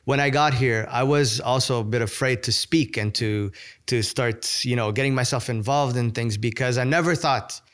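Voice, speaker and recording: A man's voice: mid-range voice, normal speed, fairly bright tone. A man with a Portuguese accent, hosting a conversational interview and relating the guest's story to his own experience. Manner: engaged, sharing own experience, encouraging. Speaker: host.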